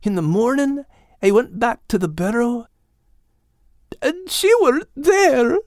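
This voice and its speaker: High, plaintive little puppy. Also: male voice, very animated, a little mellow, no room sound, studio quality, exaggerated voice. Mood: tearful, mournful. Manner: plaintive, halting. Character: frightened grieving young male puppy, guilt-ridden and earnest. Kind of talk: audiobook character voice.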